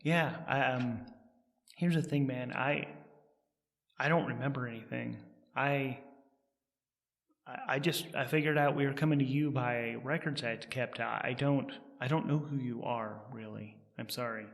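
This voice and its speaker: A man's voice: mid-range voice, fairly even intonation, normal speed, lightly acted. Man playing a hesitant, stammering amnesiac, reverberant. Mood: apologetic, pleading. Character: anxious, halting amnesiac character confessing and pleading for help. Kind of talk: solo audio drama role-play.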